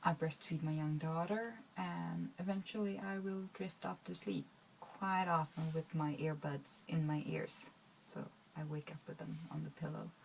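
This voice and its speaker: A woman's voice: deep voice, steady tone, normal speed, creaky, a little mellow, a little hiss or hum, rough recording. A woman, talking to her viewers in a rambling, hesitant way with many fillers and restarts. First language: Swedish. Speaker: vlogger. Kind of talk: casual talk to camera.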